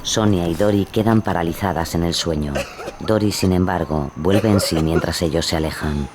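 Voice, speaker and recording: A man's voice: sits low, normal speed. A man narrating a scripted true-crime dramatization in a dramatic storytelling style. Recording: clear background noise under the voice.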